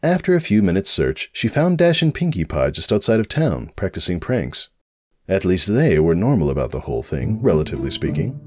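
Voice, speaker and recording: A man's voice: low voice, sing-song, fairly quick, darkish tone, no room sound. A man narrating a comic story as a dramatic reading.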